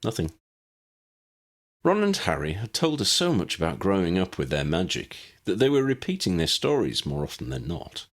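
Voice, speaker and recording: A man with a husky voice narrating an audiobook story from a script. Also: low-pitched, big pitch swings, normal speed.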